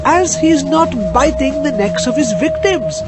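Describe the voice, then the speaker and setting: An actor playing a gleeful vampire cab driver with an Indian accent and a theatrically polite, menacing manner in a horror-comedy radio drama. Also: male voice, very high-pitched, lively intonation, moderate pace, over loud music. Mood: mock-polite, explaining. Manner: theatrically polite, savoring each menacing phrase.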